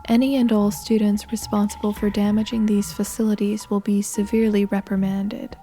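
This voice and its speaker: A woman reading scripted narration for a horror game in a measured, sombre, matter-of-fact manner. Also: mid-range voice, level tone, dark tone, soft background music.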